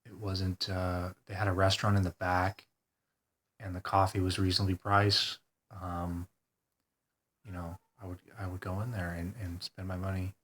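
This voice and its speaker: Deep flat-voiced stuffed-up man. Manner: hesitant, full of ums and pauses, soft-spoken. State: congested, stuffed-up nose. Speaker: vlogger. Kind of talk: rambling personal video diary.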